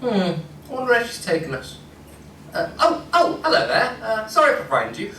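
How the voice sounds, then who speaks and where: A woman playing a polite, curious time-travelling pony doctor in an audio drama, speaking in an animated way. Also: fairly high pitch, normal speed, distant mic, a little hiss or hum. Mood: curious, chatty. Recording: echoey playback in a room, music.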